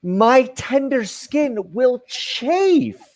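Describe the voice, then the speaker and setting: Slow, swooping, self-centred whiner acted by a man. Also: very high voice, big pitch swings, full character voice. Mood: whiny, self-pitying. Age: middle-aged. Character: self-centred, whiny, earnest male adventurer. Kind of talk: comedic radio play acting over video call. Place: room at home, on a video call.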